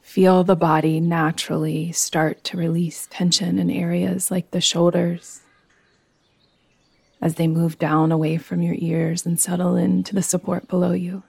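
A woman guiding a yoga nidra meditation in a soothing, gentle, unhurried manner. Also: fairly low pitch, flat delivery, vocal fry, breathy edge, dry recording. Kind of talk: guided meditation.

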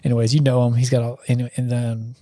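A man's voice: medium pitch, flat delivery, quick pace, dark tone, dry recording, studio quality. A man from Georgia with a Southern accent, chatting casually and rambling on a podcast. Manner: laid-back, rambling, says "man" and "like" a lot. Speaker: podcast host. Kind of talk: casual chat.

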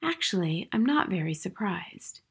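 A woman reading the part of a gentle, kindly lady teacher in a storybook, in a soft, quiet, tender manner. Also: mid-range voice, sing-song, unhurried, creaky, slightly rough, exaggerated voice. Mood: calm, concerned.

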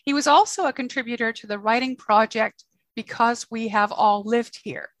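A woman's voice: fairly high pitch, moderate pace, fairly bright tone, no echo. A woman from Canada co-hosting a podcast, reading a guest introduction and asking questions in a friendly way. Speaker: podcast co-host.

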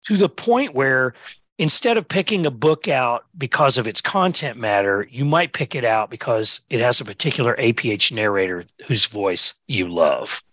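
A man tells stories on a podcast with an eager, rambling energy. His voice has a bright edge at an ordinary pitch. The recording is dry and close. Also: animated, moderate pace, no echo. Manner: enthusiastic storyteller, uneven pace, many "you know" fillers. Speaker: museum director. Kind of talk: podcast conversation.

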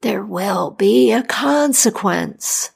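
A woman voicing a stern male Death figure in a told fairy tale, with slow, measured warnings and breathy whispers. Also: mid-range voice, lively intonation, full character voice.

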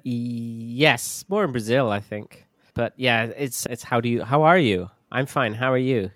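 A man with a southern English accent, a guest teacher chatting in a relaxed, slightly halting way. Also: mid-range voice, no room sound, clean studio sound. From southern England. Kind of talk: casual podcast conversation.

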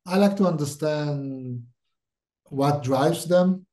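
A man's voice: fairly high pitch, takes it slowly, dark tone. A middle-aged man from Israel with an Israeli accent, a startup CEO speaking directly and thinking aloud as a podcast guest. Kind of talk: podcast interview.

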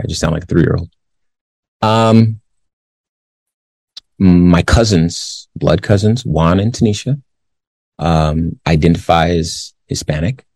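A man in his forties from the Los Angeles area, hosting a commentary show. He reads a news story aloud, then gives his views in a measured way with many pauses. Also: rumbling low, vocal fry, darkish tone, dry recording. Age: 40s. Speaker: show host.

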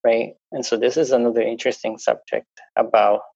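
A man's voice: medium pitch, fairly even intonation, a little fast, a little mellow, slightly rough, no room sound. A man speaking English as a second language, talking through a podcast conversation with many restarts and fillers. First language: not English. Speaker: guest. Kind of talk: conversational interview talk. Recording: dull, dry lo-fi sound.

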